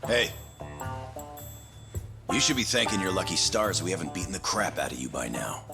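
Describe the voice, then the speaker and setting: An actor in an English game dub playing a gruff, mocking thug with a gravelly voice. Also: male voice, low voice, big pitch swings, normal speed, full character voice. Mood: taunting. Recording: voice over loud music.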